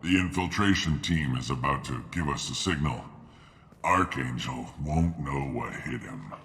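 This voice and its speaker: An actor playing a gruff, cocky mercenary mechanic sergeant in a video game cutscene. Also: male voice, very deep voice, measured pace, fairly bright tone, a little hiss or hum, exaggerated voice. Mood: brash, confident. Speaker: mercenary gunship mechanic and planner.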